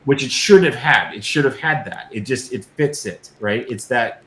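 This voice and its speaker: A man's voice: mid-range voice, normal speed, fairly bright tone. A middle-aged man being interviewed casually, talking effusively and emphatically and often stammering over repeated words. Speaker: guest, science fiction creator and designer. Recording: roomy echo, noticeable background noise.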